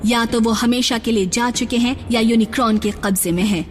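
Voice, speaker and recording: A woman plays a cunning female robot commander from a cartoon, her voice pitched a little high. She talks in a cold, controlled way and gives orders. A loud music score runs behind her. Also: fairly even intonation, fairly quick, lightly acted. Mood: scheming. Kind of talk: Hindi cartoon dub.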